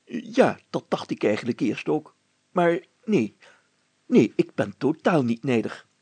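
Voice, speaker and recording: A man voicing a pompous, excitable mayor in a storybook reading, grandiose and declaiming. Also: mid-range voice, unhurried, breathy edge, dry recording, heavily acted. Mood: effusive, self-important. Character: pompous, excitable middle-aged male mayor, theatrical and effusive.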